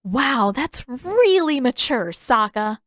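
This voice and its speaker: A woman voicing a bossy, sharp-tongued teenage girl in an audiobook, firm and sarcastic. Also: high voice, big pitch swings, takes it slowly, dry recording, studio quality, pitched above the performer's own voice, full character voice.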